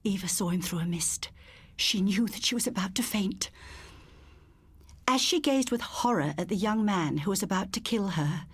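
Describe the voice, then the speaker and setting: Husky woman narrating a ghost story. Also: mid-range voice, normal speed, dry recording. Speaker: audiobook narrator.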